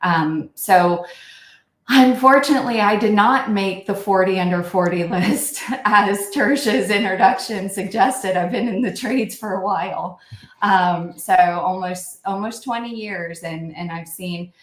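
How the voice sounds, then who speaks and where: A woman's voice: mid-range voice, measured pace, echoey room. A middle-aged woman giving conversational answers as a podcast guest on a remote call. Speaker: podcast guest, software consulting executive. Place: remote call, animals around.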